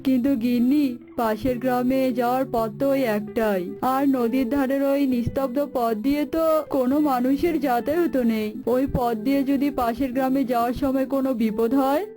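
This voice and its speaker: Woman as a high-voiced, weary village husband. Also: steady tone, a little fast, music in the background, lightly acted. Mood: anxious, despondent. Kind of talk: cartoon story dialogue.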